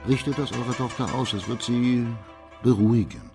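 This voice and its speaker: An actor playing a stern, dignified district judge in a radio drama, speaking formally and with measured authority. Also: male voice, mid-range voice, animated, over music, natural voice.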